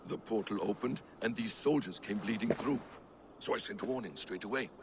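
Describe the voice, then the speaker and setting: A man's voice: on the low side, normal speed, background noise, natural voice. A man playing a sly, mocking Norse trickster god in a video game, with a drawling, theatrical, taunting delivery. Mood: sly menace. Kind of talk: video game cutscene acting.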